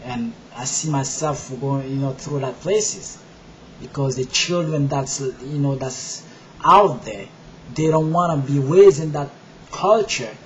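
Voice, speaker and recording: A man from Haiti with a Haitian accent, telling his faith story to his viewers in a rambling way with many fillers, moving from soft and halting to loud and forceful. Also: medium pitch, a little hiss or hum, poor audio. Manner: rambling, many "you know" fillers, quiet at first and growing loud and emphatic. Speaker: video blogger. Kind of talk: personal testimony to camera.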